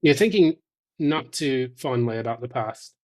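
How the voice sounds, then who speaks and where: A man giving an intuitive tarot reading straight to the listener, speaking in a measured, halting way with thoughtful pauses. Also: mid-range voice, lively intonation, darkish tone. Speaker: tarot reader.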